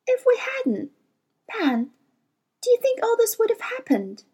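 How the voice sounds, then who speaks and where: Picture a bold, quick-tempered storybook girl of about twelve, as a woman reader puts her on, high and bright in pitch with a voice that leaps up and down. She talks roughly and defiantly, heated and sure of herself. It sounds like a character in an audiobook reading. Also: wildly swooping pitch, exaggerated voice. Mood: wondering.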